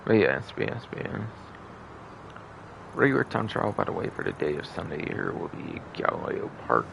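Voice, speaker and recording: A man's voice: deep voice, wildly swooping pitch, moderate pace, vocal fry. A man live streaming a video game with casual, rambling commentary, muttering asides and swearing as he goes. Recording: faint background noise.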